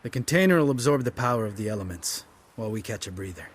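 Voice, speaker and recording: An actor playing a younger monster hunter in a fantasy video game, cynical and bitter, with a blunt, sardonic manner. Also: male voice, fairly low pitch, expressive, fast, breathy edge. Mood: wry, callous.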